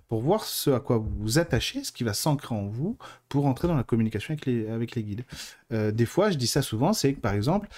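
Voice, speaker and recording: A man's voice: medium pitch, a little mellow. A man hosting a live stream, talking casually and informally to his viewers in a chatty, digressive way. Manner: informal, colloquial wording. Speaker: host of a live stream on mediumship and clairvoyance. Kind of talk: casual live talk answering viewer questions.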